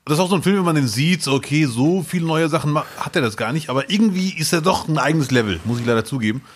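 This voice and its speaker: A man's voice: fairly high pitch, expressive, racing, husky. A man co-hosting a casual podcast chat, cracking dry ironic jokes. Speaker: podcast co-host.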